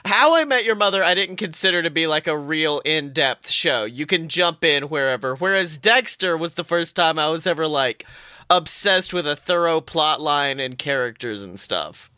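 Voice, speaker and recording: A young adult podcast co-host chatting casually about fandom in an animated, exaggerated and joking way. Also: mid-range voice, moderate pace, clean tone, dry recording, clean studio sound. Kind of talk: casual fan podcast chat.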